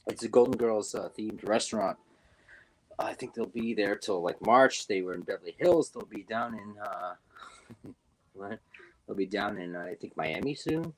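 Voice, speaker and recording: A young man with a low, husky voice talks in a loose, rambling way. He fills his sentences with "uh" and "like" and often starts over as he chats casually as a guest. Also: expressive, moderate pace, darkish tone, rough recording. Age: young adult. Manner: rambling, lots of fillers and restarts. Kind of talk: casual chat. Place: New York.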